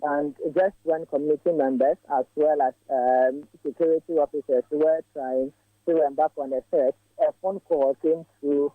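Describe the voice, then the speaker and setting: A man's voice: on the high side, fairly even intonation, dry recording. Man reporting over a phone line. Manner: measured, with frequent filler pauses. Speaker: field reporter. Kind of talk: live news report by phone. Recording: tinny dry phone line.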